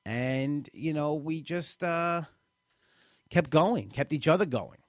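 A man in his late thirties sharing his weight-loss journey in a halting, personal way, often restarting and repeating words. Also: a little high, dry recording, studio quality. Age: late 30s. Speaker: weight-loss program member. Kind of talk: personal testimonial talk.

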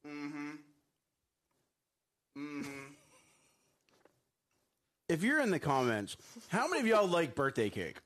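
A man co-hosts a casual live morning show. His voice sits fairly high for a man, and his pitch swings widely as he gets excited. He jokes a lot and breaks into laughter often. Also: takes it slowly, long pauses. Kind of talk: casual live-stream chat.

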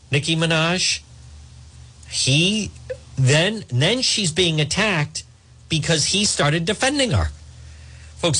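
A man hosting a talk show, giving opinionated off-the-cuff political commentary in a halting, repetitive way, addressing listeners directly. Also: on the high side, bright, forceful tone.

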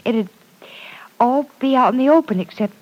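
An actress playing an earnest, stubborn young woman in an old-time radio serial, expressive and gently insistent. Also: sits high, moderate pace, highs cut off. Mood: firm, patient. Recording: vintage radio drama recording.

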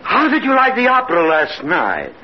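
An actor playing an insolent, grandiose tavern cook with a Russian accent and formal foreign phrasing in a 1950s radio comedy. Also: male voice, sits high, wildly swooping pitch, moderate pace, crowd noise. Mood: pompous, mocking. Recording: dull old radio recording with noise.

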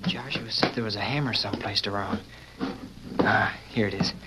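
A man's voice: lowish voice, fairly even intonation, normal speed, lightly acted. A man playing an eager, earnest boy sidekick in an old radio western, polite and wide-eyed. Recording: very noisy vintage radio recording, clicks.

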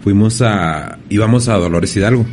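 A man's voice: fairly low pitch, moderate pace, faint music behind. Laid-back man chatting. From Ciudad Juárez, Mexico. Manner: relaxed, slangy, many restarts. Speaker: podcast host. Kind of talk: casual interview chat.

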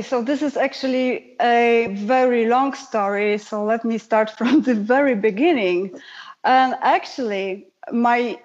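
A woman's voice: on the high side, dull audio. A woman with a Polish accent, a guest musician telling her story in a casual, chatty interview. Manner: chatty storyteller, laughs easily.

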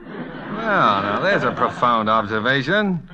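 An actor playing a brash, playful illustrator with drawling, mock-innocent teasing in an old-time radio comedy. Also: male voice, mid-range voice, very animated, slow, a crowd in the background. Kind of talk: 1930s-40s radio comedy acting. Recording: dull, band-limited old radio recording.

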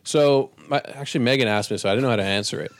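A man's voice: medium pitch, racing, dry recording, studio quality. A young man chatting casually, asking curious questions and swearing freely. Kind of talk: casual chat.

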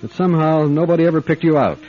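An actor playing a calm, probing private detective in a radio drama, speaking in a measured, wry way. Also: male voice, on the high side, lightly acted. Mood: accusing. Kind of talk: radio drama acting with first-person narration. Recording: dull band-limited radio drama with music.

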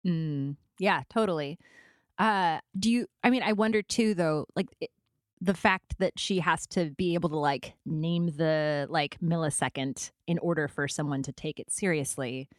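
A woman hosting a podcast interview, relaxed and encouraging, with quick affirmations. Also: sits low, no echo, clean studio sound. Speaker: podcast host. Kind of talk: casual interview.

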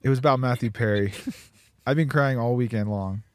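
A man's voice: mid-range voice, moderate pace, darkish tone, no room sound. A man in his twenties co-hosting a casual podcast from a parked car, laughing often and tripping over his words. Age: 20s. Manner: giggly, trips over words. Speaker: podcast co-host.

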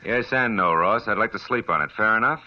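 An actor playing a wisecracking hard-boiled private detective who narrates a 1940s radio drama. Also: male voice, fairly low pitch, brisk, lightly acted. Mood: wry. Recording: dull old radio recording with music.

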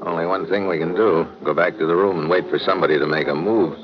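Actor as very deep-voiced hardboiled American secret agent. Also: male voice, steady tone, fast, natural voice. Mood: cool, businesslike. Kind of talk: old-time radio drama acting and narration. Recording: muffled, noisy old radio recording.

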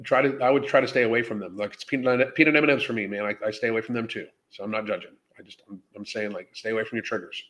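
Male livestream host, medium pitch. Casual, off-the-cuff delivery, blunt and foul-mouthed. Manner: blunt, heavily profane. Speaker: live Q&A host. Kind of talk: casual unscripted livestream Q&A, reading and answering viewer questions.

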